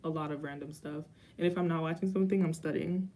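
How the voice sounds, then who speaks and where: Low, mellow young woman chatting. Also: fairly even intonation, fast talker. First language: Haitian Creole. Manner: rambling, self-correcting, dry asides. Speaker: YouTuber. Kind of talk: casual Q&A vlog chat.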